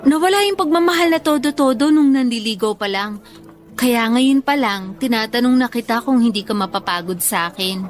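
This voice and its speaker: An actress playing a tender young teacher who cares for her parents, speaking softly and earnestly in a Tagalog radio drama. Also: on the high side, normal speed, close to an everyday voice. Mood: hesitant. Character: tender young woman teacher, tired devoted caregiver daughter.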